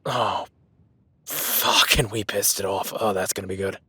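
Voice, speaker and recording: A man playing a husky, tense cowboy hunter. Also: lowish voice, steady tone, a little slow, no room sound, exaggerated voice. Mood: urgent, alarmed. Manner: hushed whispering while stalking; swearing, commanding, urgent. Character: gruff rugged cowboy hunter tracking a beast. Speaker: ranch hand tracking a beast. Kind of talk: audio roleplay.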